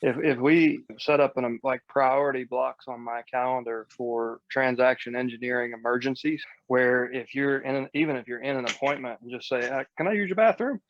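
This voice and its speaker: A man's voice: mid-range voice, brisk, hardly any pauses, muffled recording. A man hosting a casual coaching call, speaking in an earnest, colloquial way as a real estate coach.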